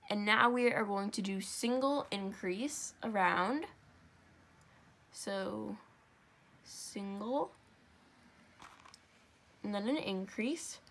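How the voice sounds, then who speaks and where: A young woman with a congested, stuffy nose walks viewers through a crafting project step by step. Her talk is chatty and rambling, full of asides and quick self-corrections, with the easy tone of someone filming at home. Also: mid-range voice, gravelly, fairly bright tone, slight background noise. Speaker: craft tutorial host.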